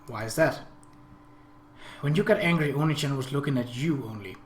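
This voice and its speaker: An observant, possessive younger sister from a visual novel, read by a man reasons things out in a measured way. The recording is roomy with background noise. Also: medium pitch, lightly acted. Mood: calm, reasoning.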